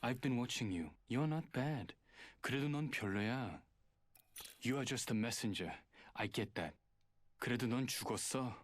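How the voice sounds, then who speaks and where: A man's voice: mid-range voice, lively intonation, racing, no room sound, close to an everyday voice. An actor voicing a guarded, arrogant male hacker in a video game, speaking in a terse, serious, superior way. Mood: cold, condescending. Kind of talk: video game character voice lines.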